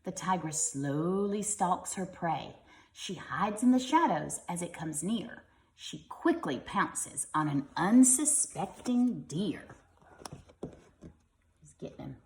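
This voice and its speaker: A woman's voice: on the low side, lively intonation, slow pace, echoey room. A woman reading a picture book aloud to young children like a teacher, expressively and with simple explanatory asides.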